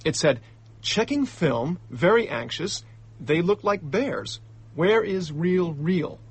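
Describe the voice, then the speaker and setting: A young male diplomat in a radio drama, indignant and hot-headed. His voice sits fairly high with a lively swing and a touch of husk. He talks in excitable slang. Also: takes it slowly, slightly rough, heavily acted. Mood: agitated recounting.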